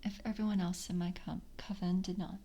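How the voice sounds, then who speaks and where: A woman playing a composed, seductive vampire coven member in an ASMR roleplay, speaking in a soft, breathy whisper with slow, formal, intimate delivery. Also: on the low side, flat delivery, highs cut off. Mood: confiding, formal.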